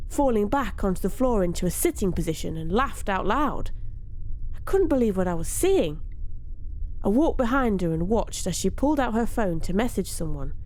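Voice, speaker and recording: A woman reading a first-person story aloud in an expressive, theatrical storytelling manner. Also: mid-range voice, low-quality recording. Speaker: story narrator.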